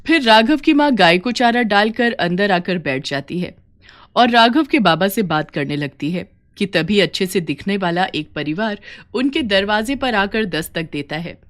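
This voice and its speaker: A woman's voice: mid-range voice, animated, moderate pace. A woman narrating a scripted folk tale for a cartoon, as a storyteller.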